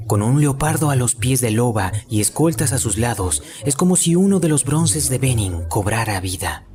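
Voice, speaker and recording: A man's voice: medium pitch, lively intonation, normal speed, a crowd in the background. A man with a Latin American Spanish accent reading scripted documentary narration as an amateur voice-over. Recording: music behind the voice. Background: background music.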